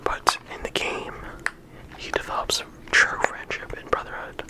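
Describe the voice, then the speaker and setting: A person whispering in ASMR style, talking through a discussion in a casual, halting way with lots of filler words. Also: rough recording. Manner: casual, halting phrasing with frequent "like" and "you know". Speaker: video creator and host.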